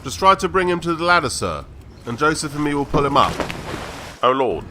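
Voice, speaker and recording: Animated, insistent workman, played by a man. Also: on the high side, a little fast, noisy background. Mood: alarmed. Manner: urgent, insistent. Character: determined male workman taking charge in an emergency. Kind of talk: audio drama acting.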